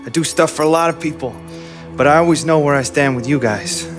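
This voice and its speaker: An American actor playing a chummy, eager hustling mechanic for the mob in a cinematic crime game. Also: male voice, on the high side, a little fast, music in the background, natural voice. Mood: breezy, sly. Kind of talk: naturalistic cinematic game acting.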